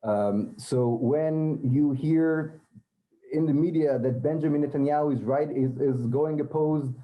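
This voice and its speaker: A man's voice: a little high, unhurried, phone-quality sound. A man hosting a community panel, talking with many fillers and restarts. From Israel. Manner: hesitant, many fillers and repeated words. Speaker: event host. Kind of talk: moderated panel talk.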